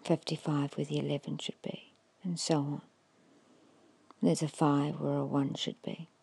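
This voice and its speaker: A woman giving an ASMR guided hypnosis in a hushed, breathy whisper, slowly and soothingly instructing with long pauses. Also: deep voice, little pitch movement, no room sound. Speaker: hypnotist guiding a meditation.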